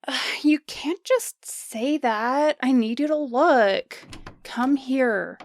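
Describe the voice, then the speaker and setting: A woman's voice: high-pitched, slow, slight background noise. A woman playing a confident, pushy young beauty influencer with a gushing, theatrical manner in a modern comedic audio drama. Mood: bossy, self-assured.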